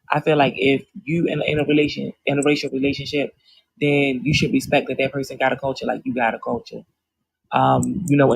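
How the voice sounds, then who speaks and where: Gravelly, husky woman, blunt and profane. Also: very deep voice, rough recording. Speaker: panelist. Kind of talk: heated live-stream debate.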